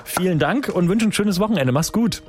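A man's voice: sits high, expressive, brisk, darkish tone. A man hosting a radio phone-in quiz, chatting in an upbeat, exclamatory way. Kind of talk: lively radio phone-in chat. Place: radio studio.

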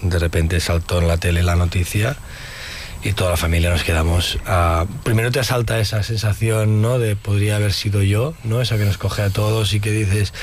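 Man, storytelling interviewee, low voice, acts out quotes. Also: steady tone, normal speed, slight background noise. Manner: storytelling, acts out quotes, frequent tag questions. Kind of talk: conversational interview.

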